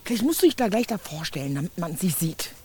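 A woman's voice: on the low side, very animated, quick pace, warm tone, a little hiss or hum. An actress playing a casual, reassuring and conspiratorial village woman in a naturalistic radio play. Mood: coaxing. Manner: casual, coaxing.

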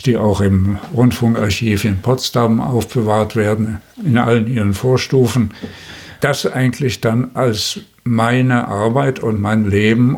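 A man's voice: mid-range voice, darkish tone, husky. An elderly man, talking reflectively as an interview guest about his own working life. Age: 70s or older. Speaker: veteran radio feature maker, interview guest.

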